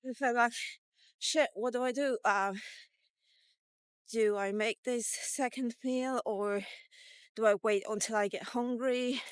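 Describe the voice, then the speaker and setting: A woman in her fifties records a casual diary vlog at a slow, unhurried pace. She talks in a halting, reflective way, thinking things through as she goes. The sound is dry and close, with very little room around it. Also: a little high, fairly even intonation, clean tone, no echo. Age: 50s. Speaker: vlogger.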